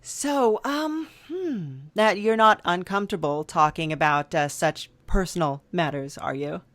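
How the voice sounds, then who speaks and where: A woman hosts an improvised comedy interview, talking slowly and haltingly with lots of fillers. Her voice sits a little low and the recording is close and dry. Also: fairly bright tone, no echo. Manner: hesitant, many fillers, playing along with mock seriousness. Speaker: podcast host.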